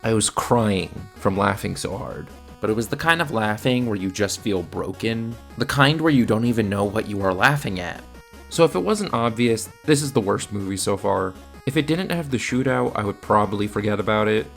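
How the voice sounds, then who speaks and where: A man's voice: fairly low pitch, expressive, normal speed, vocal fry, fairly bright tone, faint music behind. A man narrating a scripted video review with casual asides, in a blunt, exasperated manner.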